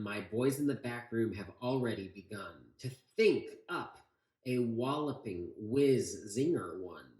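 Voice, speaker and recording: A man's voice: lowish voice, a little mellow, reverberant. A man voicing a confident, fatherly military chief in a storybook, with a breezy, encouraging manner. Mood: breezy assurance. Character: confident, jovial old-fashioned military chief, fatherly and eager.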